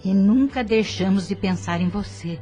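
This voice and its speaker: A Brazilian woman playing a gentle, reassuring mother spirit in an audio drama. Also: medium pitch, moderate pace, faint music behind, close to an everyday voice. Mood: tender, reassuring.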